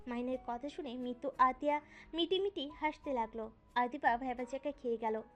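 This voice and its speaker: A high-pitched woman narrates a romantic audio story in a scripted storytelling style. Music plays behind her voice. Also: normal speed, fairly bright tone.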